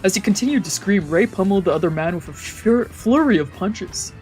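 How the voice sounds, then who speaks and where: Casting: excitable female let's player, mid-pitched, narrates a visual novel, swears casually, faint music behind. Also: brisk. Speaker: let's play streamer. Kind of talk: visual novel read-aloud with casual reactions.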